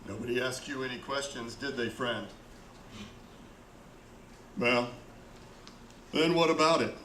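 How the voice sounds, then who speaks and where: Man acting a slow, dry, musing coroner. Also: mid-range voice, a bit bright, lightly acted. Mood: wry, musing. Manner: idle, dry, talking to himself. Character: lonely, musing coroner who chats to dead bodies. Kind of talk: radio drama acting. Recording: echoey room with faint noise.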